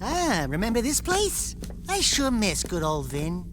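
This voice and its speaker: An actor voicing a cheeky, excitable small animal sidekick with a sarcastic, mouthy delivery for a video game cartoon. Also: medium pitch, unhurried, background noise. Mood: wistful.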